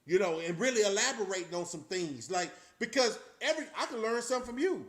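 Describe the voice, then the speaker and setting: A man's voice: sits high, animated, quick pace. A man hosting a casual live-stream chat, talking loosely and rambling with frequent restarts. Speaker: channel host.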